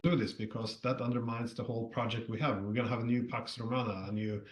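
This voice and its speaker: A man's voice: mid-range voice, steady tone, fairly quick. A man speaking conversationally in a political discussion. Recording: roomy, echoing sound.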